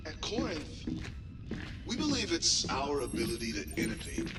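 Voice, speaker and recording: An actor playing a self-assured corporate executive giving a grand, measured promotional speech in a video game. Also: male voice, fairly high pitch, huge pitch swings, recorded from a distance, loud music behind the voice. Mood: grand, rhetorical.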